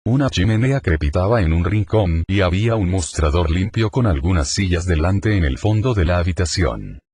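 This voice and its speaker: Very deep-voiced man reading a story. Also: animated, normal speed, a little mellow. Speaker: narrator. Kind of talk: fan fiction audiobook reading.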